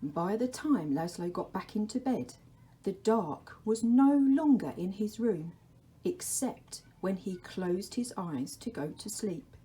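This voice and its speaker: Female primary teacher for story time. Mellow, relaxed tone, very measured and gentle read-aloud. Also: mid-range voice, slight background noise.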